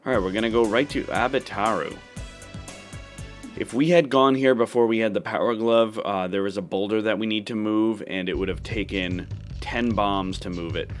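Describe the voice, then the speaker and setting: Low-voiced man commentating a game playthrough. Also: normal speed, soft background music. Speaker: gaming video host.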